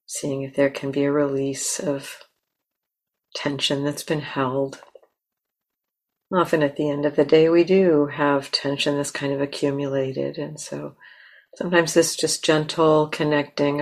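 A woman leads a guided meditation in a low voice. She speaks very slowly and gently, setting down short phrases and leaving long, unhurried pauses between them. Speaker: meditation teacher.

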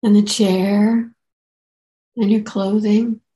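A woman teacher leading a guided meditation in a soft, relaxed way, leaving gentle pauses. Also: medium pitch.

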